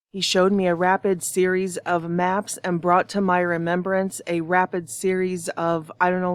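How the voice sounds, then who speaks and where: A woman with a hoarse, rather low voice talks to the camera in a steady, flat line that barely rises or falls. She speaks with earnest conviction and no script, giving a prophetic warning straight to her viewers. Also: no room sound. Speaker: online prophecy channel host. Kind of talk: prophetic video monologue.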